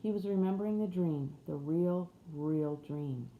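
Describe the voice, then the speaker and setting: A woman's voice: low voice, unhurried, narrow, tinny audio. A woman reading a storybook aloud as scripted narration. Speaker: audiobook reader. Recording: roomy echo with faint background noise.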